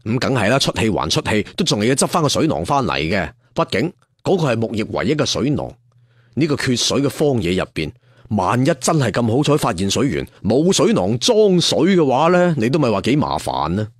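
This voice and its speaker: A male storyteller narrates a serial tale in theatrical, colloquial phrasing. His pitch is on the low side and moves widely, and the sound is dry and close. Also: very animated, a little fast, no echo.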